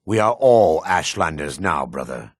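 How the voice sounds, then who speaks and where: An actor playing a proud, gruff dark elf warrior in a fantasy game, with a raspy voice and a haughty, clipped, sardonic manner. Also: male voice, rumbling low, very animated, a little slow, no room sound, studio quality, heavily acted. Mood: proud, disdainful. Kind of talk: fantasy video game character dialogue.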